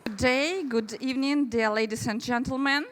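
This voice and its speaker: A woman's voice: sits high, normal speed, slight background noise. A woman from Russia with a Russian accent, giving a chatty conference presentation with friendly asides to the audience. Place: large conference hall. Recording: reverberant hall.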